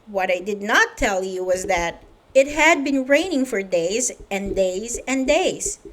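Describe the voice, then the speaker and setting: A woman's voice: mid-range voice, lively intonation, a little slow, a bit bright. A woman reading a children's story aloud, narrating and speaking directly to young listeners.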